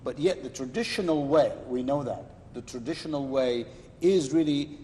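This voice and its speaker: A man hosting a live religious discussion panel, speaking in a measured way and slowing down to stress his points, with Arabic phrases mixed in. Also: mid-range voice, mellow, long reverb tail, slight background noise.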